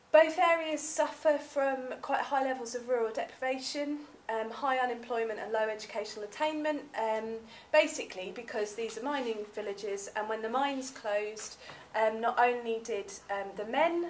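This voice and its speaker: A woman's voice: a little high, slight background noise. A young woman with a southern English accent giving a chatty conference talk in a seminar room, full of ums, recorded from a far camera. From southern England. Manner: chatty, many ums, gestures while speaking. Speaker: academic researcher presenting. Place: seminar room with projector screen. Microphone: far camera, room audio.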